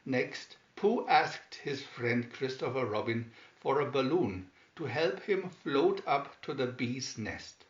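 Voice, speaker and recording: Slow, animated male storybook reader in a roomy space. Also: mid-range voice. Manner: deliberate, with emphatic swoops on key words. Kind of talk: scripted children's story narration, read aloud slowly and expressively. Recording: dull, roomy home recording.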